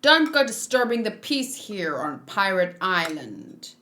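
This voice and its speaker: A woman's voice: mid-range voice, very animated, a little slow, pushed and bright, voice pushed down. A woman voicing a boastful, easygoing male pirate villain from a manga, using old-fashioned pirate talk. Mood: taunting, jovial.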